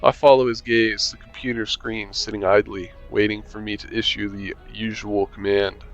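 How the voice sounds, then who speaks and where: A man's voice: lowish voice, level tone, normal speed, highs cut off, faint music behind. A man reading a visual novel aloud with commentary, making dry, sarcastic asides and swearing casually. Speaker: game streamer.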